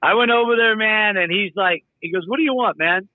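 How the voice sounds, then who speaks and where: Guest, male, upbeat raconteur, slightly high voice, tinny phone-like sound. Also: fairly quick, dry recording. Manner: animated, quotes people in his stories. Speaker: guest musician. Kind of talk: casual storytelling.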